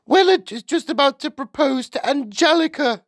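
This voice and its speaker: Picture a big, bad-tempered storybook wife. She is shrill and rude, pitched far above a man's talk, with wild swoops of irritation. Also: animated, a little slow, darkish tone, dry recording, studio quality, exaggerated voice. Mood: shrill annoyance. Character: large, ratty, TV-obsessed middle-aged wife.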